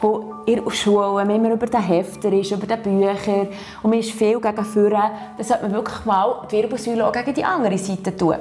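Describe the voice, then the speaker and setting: A woman's voice: mid-range voice, steady tone, brisk, a little mellow, faint music behind. A woman with a Swiss accent who patiently talks a learner through stretching and back exercises. She often tags her sentences with a questioning "oder?". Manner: patient, encouraging, ends phrases with "oder?". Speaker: fitness instructor. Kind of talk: guiding exercises step by step.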